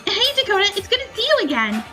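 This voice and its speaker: A woman voicing an excitable young woman, teasing and affectionate, with a playful, gushing manner in a story reading. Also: very high-pitched, fast talker, soft background music, pitched above the performer's own voice, exaggerated voice. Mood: excited.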